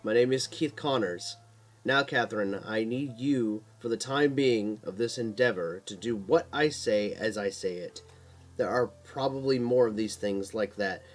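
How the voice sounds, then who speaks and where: A man's voice: fairly low pitch, unhurried, faint music behind, rough recording. A man voicing a tough male demon hunter who is curt and commanding, in an audio horror story. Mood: firm, urgent.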